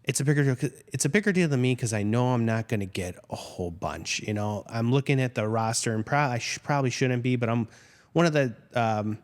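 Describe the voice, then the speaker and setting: A man's voice: medium pitch, brisk, long reverb tail. A man in his forties, a podcast guest, speaking earnestly and reflectively in casual chat, with a touch of self-deprecation. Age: 40s.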